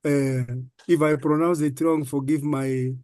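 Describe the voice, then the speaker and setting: Kenyan man, halting academic discussant. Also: fairly high pitch, steady tone, a little mellow, no room sound, studio quality. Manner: halting, repeats words, many fillers. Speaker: finance professor, panel discussant. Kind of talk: academic panel comments.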